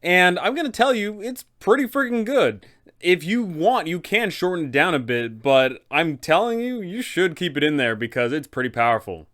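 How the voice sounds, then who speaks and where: A man's voice: high-pitched, big pitch swings, moderate pace, a bit bright. A man hosting a game master guide, talking in an enthusiastic, casual way. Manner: enthusiastic, upbeat. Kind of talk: casual tutorial narration.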